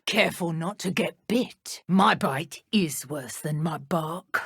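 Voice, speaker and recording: An actress playing a menacing, boastful dark witch henchwoman in a video game, with a British accent and a smug, taunting, theatrical delivery. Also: deep voice, takes it slowly, vocal fry, breathy edge, no echo, studio quality, full character voice. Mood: taunting, fierce. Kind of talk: video game combat barks and idle chatter.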